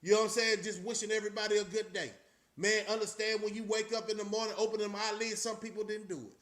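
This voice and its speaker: High, bright-voiced man hosting a chat. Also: level tone, fast. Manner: loose, rambling, with frequent restarts. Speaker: channel host. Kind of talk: casual live-stream chat.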